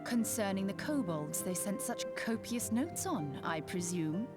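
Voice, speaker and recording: A woman's voice: medium pitch, big pitch swings, unhurried. An actress playing a cool, learned, dry-witted female adviser in a fantasy game, with formal, archaic phrasing. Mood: cool, informative. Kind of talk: scripted fantasy game dialogue. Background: game music.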